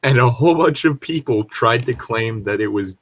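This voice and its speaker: Blunt, husky-voiced man swearing casually. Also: mid-range voice, moderate pace. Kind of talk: casual opinionated chat.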